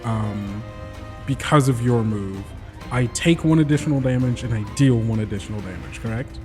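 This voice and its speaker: A man's voice: medium pitch, normal speed, darkish tone, big-room sound, faint music behind. A man playing a tabletop roleplaying game, narrating his character's actions.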